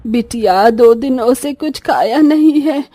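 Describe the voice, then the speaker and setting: A woman voicing a frail, hungry old beggar woman in a cartoon story, pleading slowly. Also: sits high, dark tone, dry recording. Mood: weary, pleading. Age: older. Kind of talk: Hindi cartoon story voice acting.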